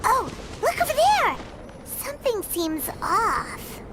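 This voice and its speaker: Fairy sidekick for a game: extremely high, childlike, wildly animated, chirpy. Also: female voice, takes it slowly, background noise, full character voice. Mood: puzzled. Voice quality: squeaky, childlike. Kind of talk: video game voice acting. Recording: music behind the voice.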